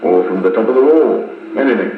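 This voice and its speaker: An actor playing a blunt, cheeky but loyal soldier in a 1950s radio serial. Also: male voice, lowish voice, expressive, quick pace, faint music behind, close to an everyday voice. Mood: grim, matter-of-fact. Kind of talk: 1950s radio drama acting. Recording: tinny, echoey, noisy 1950s wire recording.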